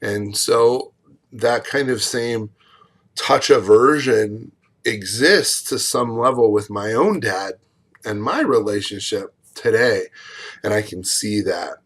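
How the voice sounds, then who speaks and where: Lower-pitched man giving a measured lecture. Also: fairly bright tone. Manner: measured, explanatory, with frequent fillers and restarts. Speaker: college speech instructor. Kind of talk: recorded video lecture.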